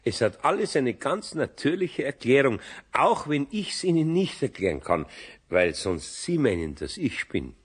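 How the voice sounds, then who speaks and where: Husky, animated Bavarian older craftsman. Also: mid-range voice, unhurried. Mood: awkward, genial. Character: good-natured, grumbly older Bavarian master carpenter. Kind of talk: children's radio play acting.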